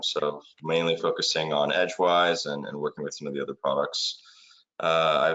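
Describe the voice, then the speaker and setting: A man introducing himself as a product manager, laid-back and halting. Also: very deep voice, sharp tone. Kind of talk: casual introduction. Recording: muffled lo-fi online call audio.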